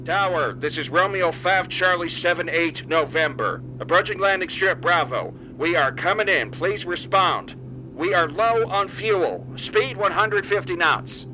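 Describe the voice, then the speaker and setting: A man's voice: high-pitched, unhurried, pushed and bright. A man playing a military pilot calling a control tower in formal, clipped radio procedure for an audio drama. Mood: urgent. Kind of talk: clipped radio-call acting. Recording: dull lo-fi sound with engine noise.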